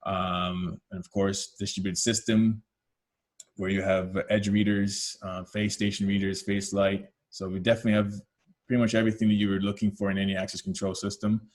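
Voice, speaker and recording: A Canadian man speaking at a fairly low pitch walks listeners through a product webinar. His tone is explanatory and loose, with many ums and uhs along the way. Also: little pitch movement, a little fast, a little mellow. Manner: explanatory, many "um" and "uh" fillers, self-corrections. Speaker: technical team lead presenting a product webinar. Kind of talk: webinar presentation.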